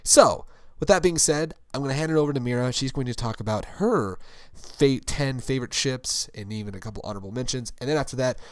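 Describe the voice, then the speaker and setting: A young man co-hosting a casual chat show, his voice hoarse, deep and gravelly from screaming, rambling upbeat and laughing often. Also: a little fast, dry recording. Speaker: podcast co-host.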